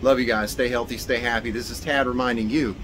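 A man's voice: medium pitch, fairly even intonation, quick pace, fairly bright tone. A man in his thirties with an American accent, explaining things casually and upbeat as a technician hosting an instructional vlog. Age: 30s. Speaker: HVAC technician and video host. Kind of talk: informal instructional vlog to camera. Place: outdoors by a building and in utility spaces. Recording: clear background noise, outdoors and utility room.

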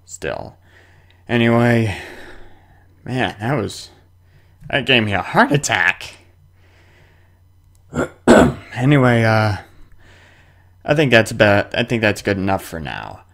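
A man's voice: fairly low pitch, big pitch swings, slow pace, creaky, long pauses, a bit bright. A man hosting a horror game playthrough, talking to himself and to the game in a theatrical, jumpy way. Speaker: gaming video host.

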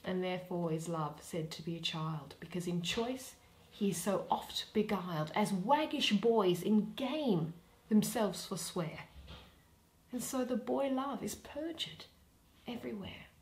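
A young woman reading a play in verse aloud, dramatically and expressively, pausing between phrases. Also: medium pitch, lively intonation. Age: young adult. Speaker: reader of a Shakespeare play. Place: small plain room indoors.

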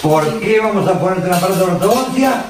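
A man's voice: high voice, animated, quick pace, noisy background. A middle-aged man, a dentist teasing and reassuring his daughter. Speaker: dentist, her father. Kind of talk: casual explaining to a patient. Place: small dental clinic room. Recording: roomy clinic with music behind.

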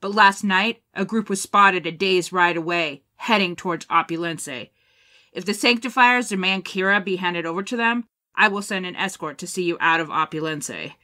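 Woman acting a firm army colonel in an audio drama, forceful and on the low side, formal. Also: normal speed. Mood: earnest, urgent. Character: commanding, honourable female military colonel.

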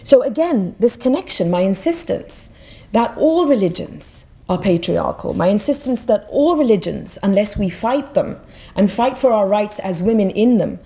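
A woman's voice: medium pitch, expressive, moderate pace, mellow. Passionate woman speaker from Egypt. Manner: passionate, emphatic, repeats words when restarting. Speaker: guest speaker. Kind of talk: public talk at a podium. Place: auditorium stage. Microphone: podium mic.

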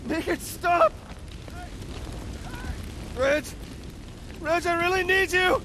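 A man's voice: extremely high pitch, takes it slowly, sharp tone, slight background noise, full character voice, rough recording. A man playing a rebellious young American delinquent, the younger brother, in a video game cutscene. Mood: panicked. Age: young adult.

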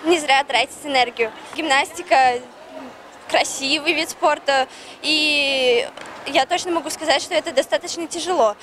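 A woman's voice: sits high, normal speed, fairly bright tone. A young woman gymnast answering an interviewer in a sports hall. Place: large indoor sports hall. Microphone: handheld reporter microphone. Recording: busy sports hall noise.

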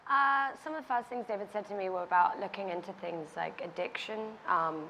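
A woman's voice: mid-range voice, a little fast, sharp tone, big-room sound, slight background noise, poor audio. A young woman on a press panel, talking in a loose, chatty way full of likes and ums. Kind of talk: panel answer. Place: stage of a large event hall.

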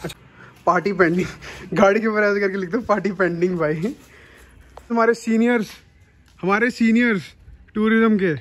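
A man's voice: high-pitched, normal speed, pauses often, no echo. A young man in his twenties, a student vlogging casually around his university campus on a phone held at arm's length, playful and teasing with friends. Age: 20s.

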